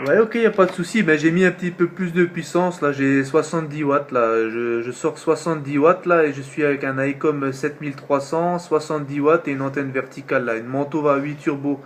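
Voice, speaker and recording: Guy w/ kinda high voice on cb radio, chatty, repeats himself a lot, hissy noisy background. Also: a little fast, few pauses. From northeastern France. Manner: repetitive, with frequent tag words ending phrases. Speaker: amateur CB radio operator. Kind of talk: casual radio contact chat.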